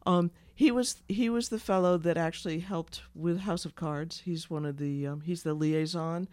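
A slightly hoarse woman, dry close sound. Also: on the low side, normal speed, a little mellow, no echo. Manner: rambling, searching for words. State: losing her voice. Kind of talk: casual political chat.

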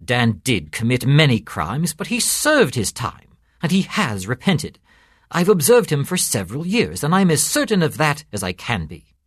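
Man playing a genial priest, very animated pitch. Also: normal speed, slightly rough, no room sound, studio quality. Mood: earnest, resolute. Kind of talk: storytelling character voice.

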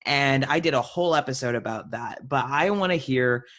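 Male podcast host, medium pitch, casual and informal interview chat. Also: normal speed, fairly bright tone.

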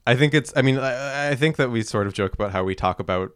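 A man's voice: medium pitch, quick pace, a bit bright, smooth, no room sound, clean studio sound. A man co-hosting a casual podcast, reading his notes and chatting with hesitant fillers and restarts. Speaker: podcast co-host.

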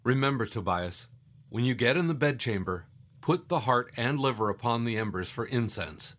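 A man acting as a calm, mysterious guide in an audio drama, reassuring and instructive. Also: medium pitch, normal speed, lightly acted. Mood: calm, assured.

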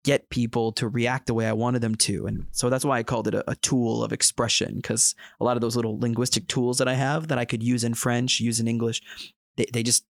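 A man hosting a podcast, talking in a relaxed, thoughtful way and explaining with examples. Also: mid-range voice, brisk, creaky, dry recording, studio quality. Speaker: podcast host. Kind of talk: casual podcast chat.

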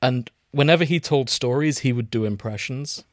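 A British man co-hosting a podcast, chatting in a giggly, relaxed way. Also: mid-range voice, normal speed, dry recording, studio quality. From Britain. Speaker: podcast co-host. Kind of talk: casual chat.

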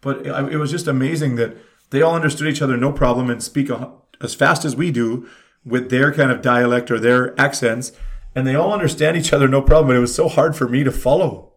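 A man's voice: mid-range voice, fairly quick. A man from Canada, chatting casually and telling stories with emphatic energy. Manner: animated storyteller, emphatic. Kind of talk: casual chat.

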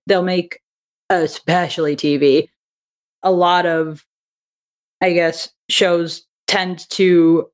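A woman speaking as an interview guest, a creator explaining her work in a casual, talkative, loosely structured way. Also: on the low side. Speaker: interview guest, audio drama writer and director.

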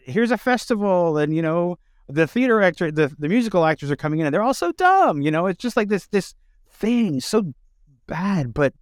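A man hosting a casual interview, speaking haltingly and repeating words as he forms his thoughts. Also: high voice, very animated, no room sound, clean studio sound. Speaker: interviewer, host.

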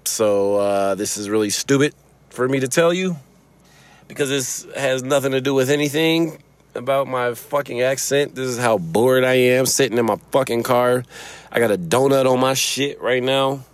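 A man's voice: medium pitch, moderate pace. A man with a Chicago accent hosting a casual solo podcast from his car, rambling, swearing freely and laughing often. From Chicago, USA. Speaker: podcast host.

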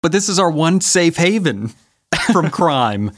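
A man voicing a gentle, earnest member of a pacifist metal band who speaks like a friendly bro. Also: a little high, big pitch swings, slow pace, dry recording, studio quality, pitched above the performer's own voice, heavily acted. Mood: indignant.

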